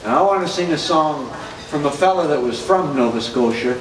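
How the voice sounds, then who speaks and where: A man's voice: on the high side, lively intonation, fairly quick, reverberant, distant mic, a little hiss or hum, rough recording. A man who hosts a music show, singing country songs and introducing them between numbers.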